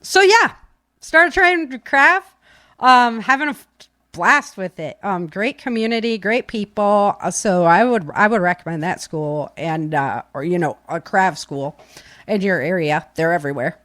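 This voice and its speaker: A woman's voice: mid-range voice, lively intonation, unhurried, a bit bright, no room sound. Lady podcast host just chatting away, kinda rambly, dry close sound. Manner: informal, filler-heavy, rambling. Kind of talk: casual chat to live listeners. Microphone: webcam stream setup, set back from keyboard.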